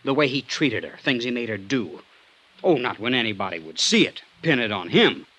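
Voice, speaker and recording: A man's voice: mid-range voice, big pitch swings, moderate pace, sharp tone, low-quality recording. An actor playing a defensive, lovestruck man, earnest and insistent, in a vintage radio drama. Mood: bitter, defensive. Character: defensive, lovestruck man, hot-headed and loyal. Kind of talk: 1950s radio drama acting.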